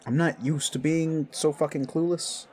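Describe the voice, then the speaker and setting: A man's voice: fairly high pitch, fairly even intonation, moderate pace, darkish tone, husky, pitched above the performer's own voice. A man voicing a newly turned werewolf bully in an audio drama, brash and crude but unsure of himself, talking in casual slang. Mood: brash, prodding. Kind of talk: audio roleplay dialogue.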